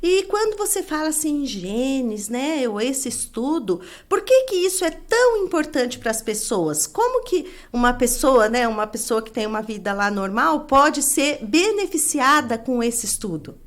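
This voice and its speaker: A middle-aged woman hosts an academic conversation, her voice sitting a little high. She is chatty and keeps asking little tag questions. Her delivery is relaxed and friendly. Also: unhurried, hardly any pauses. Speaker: host, nutrition professor and course coordinator. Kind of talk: academic interview hosting.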